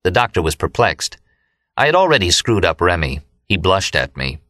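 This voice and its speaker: An American man narrates a novel in a low voice with a bright, forceful edge. It is scripted first-person audiobook reading, recorded close and very dry. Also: sing-song, moderate pace, no room sound. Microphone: close studio microphone, dry.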